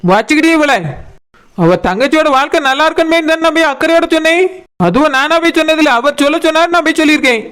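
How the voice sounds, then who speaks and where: A woman voicing an aggrieved, insistent village woman in a cartoon comedy, with a Kanyakumari Tamil accent and a pitched-up voice. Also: fairly bright tone. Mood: resentful, threatening. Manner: accusing, insistent, name-calling. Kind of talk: animated village comedy dialogue.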